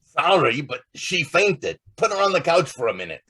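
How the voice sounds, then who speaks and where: A man's voice: a little high, big pitch swings, normal speed, bright, forceful tone, breathy edge, full character voice. A man playing a brash, wisecracking newspaper reporter in old-time radio drama style, slangy and pushy. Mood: wry, scheming.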